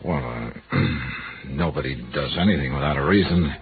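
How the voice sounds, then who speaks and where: An actor playing a wry, worldly insurance investigator with clipped hardboiled delivery in a 1950s radio drama. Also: male voice, extremely low pitch, normal speed, slight background noise. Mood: gentle, hesitant. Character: wry, worldly male freelance insurance investigator narrating his case. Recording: muffled, band-limited 1950s radio recording.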